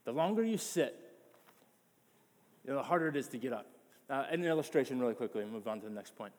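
A man's voice: mid-range voice, animated, quick pace, a little mellow, long reverb tail. A man preaching a sermon as pastor, passionate and emphatic, often repeating words as he builds his point.

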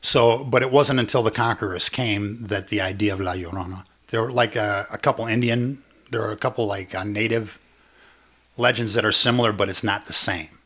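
A man's voice: on the low side, fairly even intonation, a little fast, fairly bright tone. A man co-hosting a casual podcast, explaining things loosely with lots of fillers and restarts. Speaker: podcast co-host.

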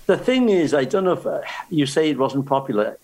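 A man's voice: fairly high pitch, big pitch swings, a little fast, darkish tone. An older man, chatting casually and telling stories about learning the language. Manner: storytelling, with restarts. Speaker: guest. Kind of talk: casual chat.

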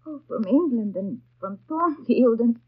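A dull, lo-fi 1930s radio drama recording of a young governess in love with her master. Her voice is husky and earnest, with formal, literary phrasing and passionate, heartfelt feeling. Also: a little high, animated, measured pace. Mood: hurt.

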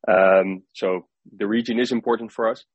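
A young man with a Dutch accent, a startup founder answering interview questions in a halting way, often repeating words as he thinks. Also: lowish voice, fairly even intonation, slightly rough, no room sound, lo-fi sound. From Netherlands.

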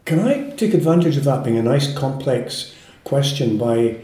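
A Scottish man in his eighties, a poet speaking and reading in a measured, formal way with careful pauses. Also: mid-range voice, lively intonation, mellow. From Scotland. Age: 80s. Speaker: poet, interview guest. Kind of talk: literary interview with poetry reading. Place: indoor room with some echo. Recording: roomy, echoing indoor sound.

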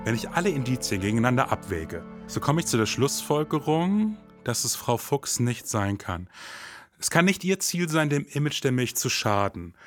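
An actor playing a formal, pompous detective in a satirical noir podcast drama, with stilted, theatrical phrasing. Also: male voice, medium pitch, dry recording, studio quality, close to an everyday voice.

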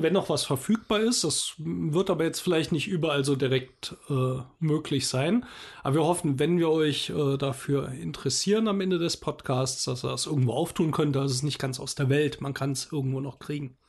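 A man's voice: fairly high pitch, fairly quick, slightly rough. A man co-hosting a casual board game chat, throwing in dry, joking asides. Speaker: podcast co-host.

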